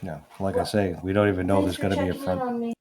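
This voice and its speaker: A man's voice: low-pitched, wildly swooping pitch, a little fast, darkish tone, a little hiss or hum. A man playing a tabletop game, talking in a casual, loose way that drops the odd swear. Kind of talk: in-character roleplay chat.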